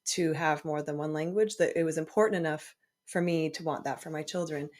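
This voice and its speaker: Low-voiced woman podcast host. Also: fairly even intonation, fairly quick, a little mellow. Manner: reflective, thinks aloud in a personal way. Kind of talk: conversational interview.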